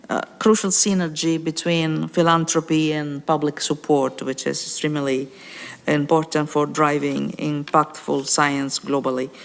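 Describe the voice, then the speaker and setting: A woman moderating a conference panel, formally introducing speakers and posing questions, with some hesitations. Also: on the low side. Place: large conference hall. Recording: reverberant conference hall.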